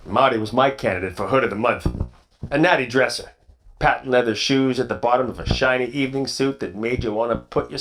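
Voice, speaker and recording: A man playing a wry, deadpan private eye and ex-cop in a parody of 1940s radio detective drama, narrating and trading wisecracks. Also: mid-range voice, close to an everyday voice.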